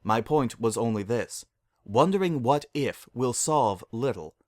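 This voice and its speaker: Storybook role: dignified pony princess, played by a man, courtly and measured counsel, dry close recording. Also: medium pitch, lively intonation, darkish tone, no echo, natural voice. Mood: kindly counsel. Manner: formal, measured, archaic courtesy. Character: regal, gentle princess mare of the night, formal, solemn.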